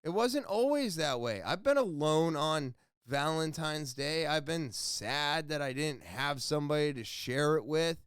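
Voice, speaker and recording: A man's voice: a little high, lively intonation, unhurried, hardly any pauses, fairly bright tone, clean tone, dry recording, studio quality. A man hosting his own podcast, talking alone in a casual, earnest and rambling way, blunt and swearing freely. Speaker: podcast host. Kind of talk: casual solo podcast monologue.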